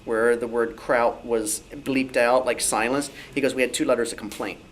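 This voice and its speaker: A man's voice: mid-range voice, steady tone, normal speed. A man at a club meeting telling an informal story, acting out the dialogue as he recounts it. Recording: slightly noisy live meeting recording.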